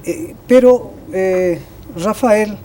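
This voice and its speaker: A woman's voice: medium pitch, extremely slow, mellow, a little hiss or hum. A woman with a Bolivian Spanish accent, hosting an interview in a conversational way and feeling her way through her questions. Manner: hesitant, reformulating questions. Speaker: interview host.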